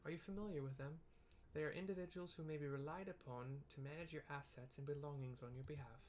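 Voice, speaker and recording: A man's voice: on the high side, fairly even intonation, quick pace, darkish tone, lightly acted. A man voicing a formal, courtly young lady leader from a video game in an attempted British accent, now and then stumbling over the words. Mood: courteous, persuasive. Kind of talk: amateur read-aloud of game dialogue. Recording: clearly audible background noise.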